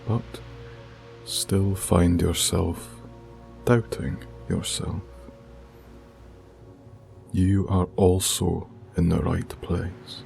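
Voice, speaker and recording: Very slow, deep-voiced man guiding meditation. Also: gravelly, warm tone. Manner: soothing, deliberate, with long pauses. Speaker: meditation guide and coach. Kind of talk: ASMR guided meditation. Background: music with binaural beats.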